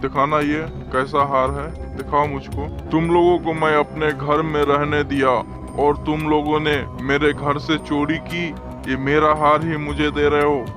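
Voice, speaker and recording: A man's voice: a little high, flat delivery, unhurried, music in the background, lightly acted. A man voicing a greedy, blunt, bullying landlord in a cartoon story. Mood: suspicious, accusing. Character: greedy, bullying male landlord with a murderous past. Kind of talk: Hindi cartoon story dialogue.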